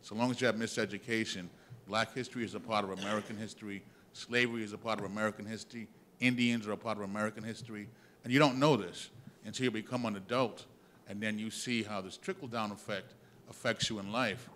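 A man's voice: fairly low pitch, fairly even intonation, quick pace, fairly bright tone, slight background noise. A man giving an earnest, emphatic comment from the audience at a panel discussion. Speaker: audience member. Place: large theatre hall.

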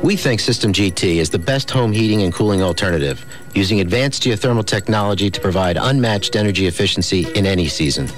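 A man's voice: sits low, expressive, fast. A man reading a scripted testimonial as a company president in a commercial. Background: music.

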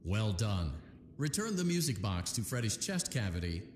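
An actor playing a calm, upbeat male voice that gives repair instructions in a video game. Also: lowish voice, normal speed, a little hiss or hum. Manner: calm, upbeat corporate training tone. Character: friendly male corporate repair-training voice. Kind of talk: scripted game voice-over instructions. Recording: echoey reverb with game music behind.

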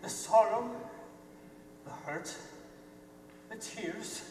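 A young man plays a wounded, volatile outcast boy in a stage play. His pitch moves a lot. He is heard from far off in a noisy, echoing hall. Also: expressive, extremely slow, darkish tone, heavily acted. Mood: sorrowful. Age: young adult. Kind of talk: high school stage play acting. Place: theater stage, filmed from the audience. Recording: distant, noisy, echoey theater stage.

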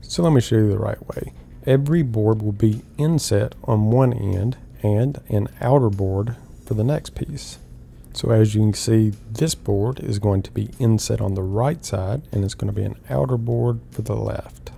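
A middle-aged man calmly explaining woodworking steps one at a time in an instructional voice-over. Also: fairly low pitch, moderate pace, creaky, husky, soft background music. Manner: calm, step-by-step explaining. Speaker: woodworking host.

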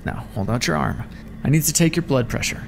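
A man's voice: mid-range voice, animated, fairly quick, vocal fry, slight background noise. A man playing a clinical, smug vampire scientist in an ASMR roleplay, teasing and condescending as he muses aloud to a captive. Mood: clinical, musing. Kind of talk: ASMR roleplay, intimate scripted monologue.

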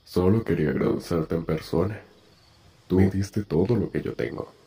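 Man as a very deep, menacing villain. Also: slightly rough. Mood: smug. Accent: Latin American. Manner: slow, calm, self-assured. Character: cold, menacing villain in an animatronic suit. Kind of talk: amateur animated fandub acting.

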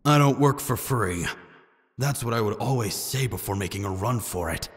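A man's voice: mid-range voice, expressive, moderate pace, in a large hall, slight background noise, lightly acted. A man voicing a hardened, proud assassin in an anime fan dub, speaking in a grim, restrained manner. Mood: grim, resigned. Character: hardened adult male assassin, proud, brooding, cynical. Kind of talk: anime manga fan dub, inner monologue.

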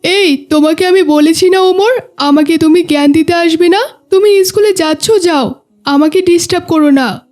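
Very high-pitched petulant cartoon schoolboy. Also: female voice, moderate pace, a little mellow, soft background music. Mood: defiant, dismissive. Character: defiant, fun-loving schoolboy, later remorseful. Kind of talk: children's moral cartoon dubbing.

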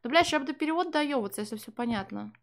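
A woman's voice: high voice, animated, racing, a little hiss or hum. Sardonic young woman streamer. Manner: profane, sardonic, chatting to viewers. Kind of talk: casual live game commentary with translation.

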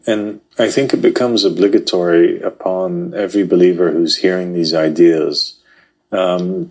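Deep-voiced man discussing religion. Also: poor audio. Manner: thoughtful, hesitant with frequent fillers. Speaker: podcast co-host.

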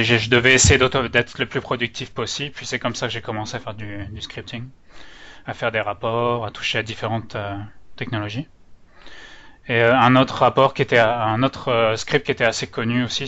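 Bright-voiced Frenchman with mixed Canadian intonation. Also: mid-range voice. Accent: French with Canadian-influenced intonation. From France. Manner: hesitant, nervous speaker. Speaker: user group organizer, presenter. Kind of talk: informal technical presentation. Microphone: online meeting microphone. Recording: dull, lo-fi online call audio.

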